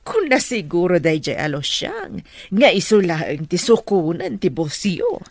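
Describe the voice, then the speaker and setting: A woman's voice: lowish voice, very animated, measured pace, a little mellow, no echo, heavily acted. A woman with an Ilocano accent playing a frank, nosy middle-aged mother in a radio drama. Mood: teasing.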